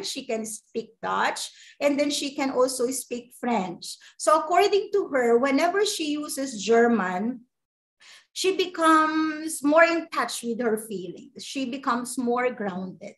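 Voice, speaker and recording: High-voiced Filipino woman lecturing. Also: low-quality recording. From Philippines. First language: Ilocano. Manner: animated, checks in with "okay?". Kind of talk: guest lecture.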